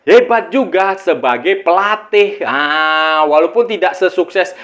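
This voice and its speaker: A man's voice: high-pitched, lively intonation, slow, fairly bright tone. A man giving casual football commentary, conversational and repetitive, often addressing his listeners directly. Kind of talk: casual opinion monologue on football news.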